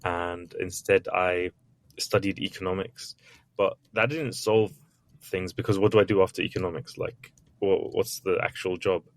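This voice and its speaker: Deep-voiced man telling his story. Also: steady tone, moderate pace, dry recording. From Iraq, raised in England. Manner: candid, chatty, with many restarts and little acted quotes. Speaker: author and entrepreneur guest. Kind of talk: interview answers, storytelling.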